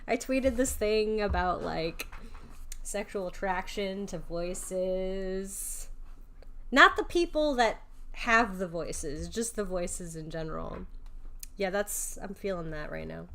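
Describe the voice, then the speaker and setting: Unhurried, slangy woman streamer. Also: medium pitch, animated, a bit bright. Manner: slangy, giggly fan asides. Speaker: vtuber streamer. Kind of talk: casual gameplay commentary.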